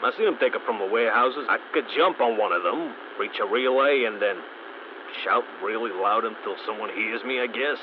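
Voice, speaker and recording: An actor playing a wry stranded mechanic. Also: male voice, fairly low pitch, moderate pace, natural voice. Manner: wry, dry humor. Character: stranded wisecracking male mechanic, weary and resourceful. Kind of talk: recorded audio log diary. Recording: muffled lo-fi audio log with static noise.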